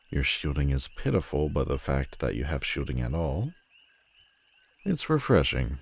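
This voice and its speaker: Man acting a very deep-voiced sardonic supervillain. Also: a little mellow, exaggerated voice. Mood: wry, curious. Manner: mocking, slow deliberate delivery with dramatic pauses. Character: sardonic, menacing male telepathic supervillain, world-weary and theatrical. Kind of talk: scripted audio roleplay addressed to the listener.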